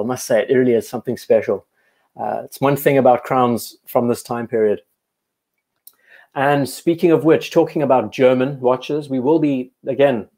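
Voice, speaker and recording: A man's voice: mid-range voice, darkish tone. A man hosting a live watch stream, chatting casually and thinking aloud as he comments on viewers' photos, often restarting his sentences. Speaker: watch show host.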